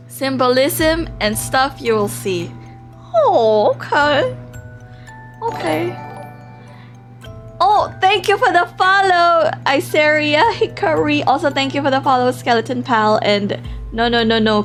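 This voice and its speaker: A woman live streaming a game in a casual, excitable, giggly way, reading text aloud and reacting expressively as she goes. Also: high voice, takes it slowly, long pauses, faint music behind. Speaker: livestream host.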